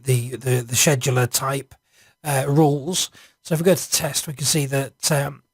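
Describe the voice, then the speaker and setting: A man narrating an informal screencast software walkthrough, hesitant and improvised as he thinks aloud. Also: medium pitch, slightly rough, dry recording, clean studio sound. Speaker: software reviewer.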